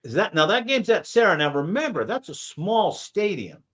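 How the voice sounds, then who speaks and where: Male host, voice a bit higher than average, emphatic and folksy in relaxed sports conversation. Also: normal speed. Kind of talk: casual sports chat.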